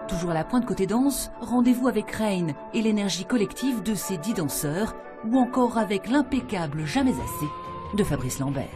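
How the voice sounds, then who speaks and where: A woman, reading a scripted news voice-over in a measured, polished way. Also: mid-range voice, expressive, music in the background. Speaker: news reporter.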